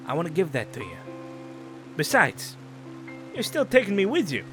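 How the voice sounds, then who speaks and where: A man plays a gently resigned career criminal in an audio drama, ready to sacrifice himself. His slightly high voice moves expressively and earnestly. Music is clearly heard behind him. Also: huge pitch swings, moderate pace. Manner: earnest, plain-spoken. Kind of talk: audio roleplay drama acting.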